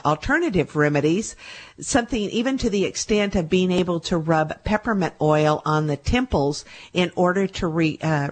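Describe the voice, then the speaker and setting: A middle-aged woman hosts a call-in radio show, talking in a loose, chatty way and circling back over her points. Her voice sits a little low and still carries traces of a recent bout of laryngitis. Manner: chatty, repeats herself with fillers. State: recovering from laryngitis. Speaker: radio show host and medical intuitive. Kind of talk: casual radio monologue.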